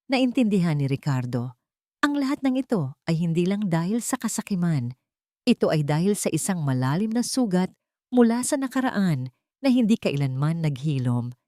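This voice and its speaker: A woman tells a romantic drama in steady, scripted narration. Her voice sits low for a woman, and the recording is close and completely dry. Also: no echo. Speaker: storyteller narrator.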